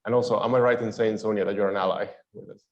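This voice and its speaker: Lowish-voiced man moderating a panel. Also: racing, highs cut off. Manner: reads guest bios in a lively, chatty way with small laughs.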